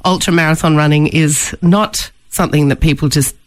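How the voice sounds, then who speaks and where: A woman's voice: sits low, moderate pace. A woman hosting an interview, asking friendly, polite questions. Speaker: host.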